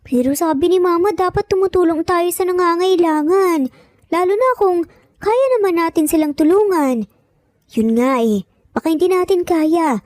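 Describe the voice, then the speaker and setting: A woman's voice: extremely high pitch, normal speed, a little mellow, no echo, clean studio sound. A woman voicing an eager, earnest young boy in a dramatized story. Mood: worried, urging. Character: young triplet boys, eager and earnest little brothers.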